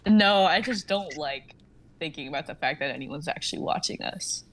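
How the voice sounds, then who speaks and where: A woman's voice: sits low, lively intonation, normal speed, fairly bright tone, low-quality recording. A young woman chatting casually and teasingly as a co-host of a group stream. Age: young adult. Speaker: co-host of an online readers' stream.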